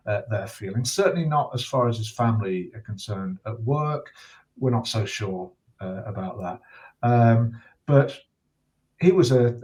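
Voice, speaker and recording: A middle-aged man speaking as an interview guest, giving serious personal testimony in a measured, halting way with deliberate pauses. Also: mid-range voice, darkish tone. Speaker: interview guest, bereaved father and campaigner.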